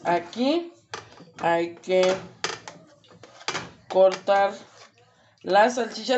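Slow chatty Mexican woman cooking. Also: medium pitch, lo-fi sound, background noise. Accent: Mexican Spanish. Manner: slow, chatty, many fillers. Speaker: home cooking vlogger. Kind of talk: casual cooking demonstration. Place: home kitchen, indoors. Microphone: camera across the stove.

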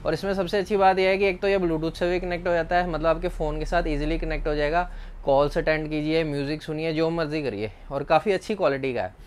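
Clear, higher-pitched Indian man vlogging. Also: fairly even intonation, quick pace. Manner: informal, explanatory. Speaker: vlog host and bike accessories seller. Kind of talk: product explainer vlog to camera, Hindi-English mix. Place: small indoor accessories shop.

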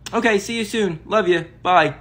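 A performer voicing a laid-back older brother in a comedy skit, casual and teasing. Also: female voice, mid-range voice, normal speed, lightly acted. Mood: reassuring.

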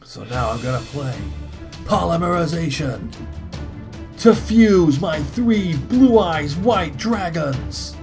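A man's voice: fairly high pitch, very animated, slow pace, a little mellow. Sweary male gamer over game music. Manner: sweary, talks through his moves aloud. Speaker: gaming video host. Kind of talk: casual let's play commentary. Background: video game music.

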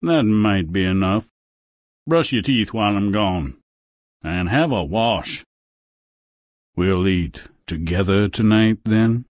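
A smug, courtly frog king from a fairy-tale game. His low voice swoops theatrically as he wheedles, and he takes his time over the words. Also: lively intonation, slow, long pauses, no room sound, clean studio sound. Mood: sardonic. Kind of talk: video game fairy-tale character acting.

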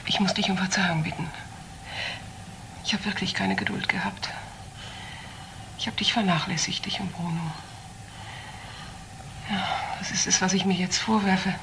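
A woman's voice: mid-range voice, fairly even intonation, normal speed, creaky, long pauses, lightly acted. Actress as a stern woman doctor, noisy recording. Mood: remorseful. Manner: precise, commanding, grave. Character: stern, well-to-do woman doctor, reproachful aunt. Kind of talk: dramatic radio crime play acting. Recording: noticeable background noise, old broadcast audio.

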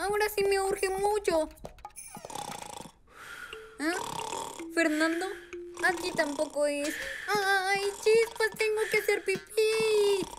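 A woman voicing a small, excitable boy rabbit in a children's cartoon, with a Latin American Spanish accent and an expressive, childlike manner. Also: extremely high pitch. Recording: music behind the voice.

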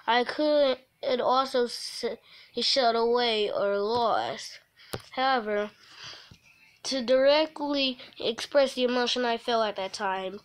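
A woman livestreamer reading a visual novel aloud, haltingly, breaking off for casual offhand asides. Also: a little high. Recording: noisy mobile stream with clicks.

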